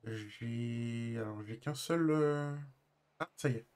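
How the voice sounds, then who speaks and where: A man's voice: medium pitch, slow pace, a little mellow. A man chatting casually in an online roleplay as a new employee, polite, agreeable and a little hesitant. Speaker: streamer playing a new garage employee. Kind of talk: casual in-character roleplay chat.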